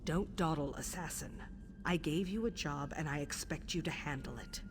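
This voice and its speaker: A woman voicing a cold, stern assassin matron in a fantasy game, speaking in measured, commanding phrases. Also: low-pitched, very noisy, lightly acted.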